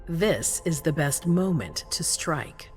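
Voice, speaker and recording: A woman's voice: low-pitched, animated, slow, a little mellow. A female vampire ruler, grave, formal, authoritative, resolute, video game drama, music behind. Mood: measured, persuasive.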